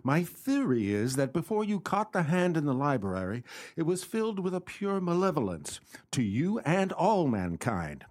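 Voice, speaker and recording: A man acting in a radio play as a gentleman's loyal, practical companion, speaking in an emphatic, theatrical way. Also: on the high side, very animated, moderate pace. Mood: reassuring.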